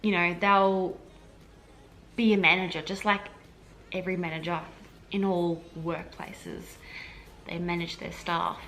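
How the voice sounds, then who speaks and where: Chatty Australian woman, lowish voice. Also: little pitch movement, measured pace, long pauses, a bit bright, slight background noise, low-quality recording. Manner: chatty and rambling, many "like"s and restarts. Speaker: health administration officer and vlogger. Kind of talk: casual vlog explaining to camera.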